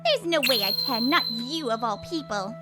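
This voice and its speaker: A woman voicing a blunt, outspoken wronged wife who scolds hard and confides softly. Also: on the high side, expressive, moderate pace, loud music behind the voice, close to an everyday voice. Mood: angry. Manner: sharp and scolding, soft when confiding. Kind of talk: manga video voice acting.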